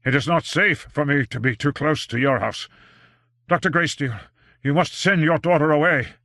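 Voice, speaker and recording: A man voicing a tormented, cursed magician who speaks slowly and intensely in an audiobook. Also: a little high, bright, forceful tone, no room sound, clean studio sound, pitched above the performer's own voice. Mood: urgent. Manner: slow, intense and declamatory. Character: tormented cursed male magician, grave and brooding. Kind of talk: audiobook character voice.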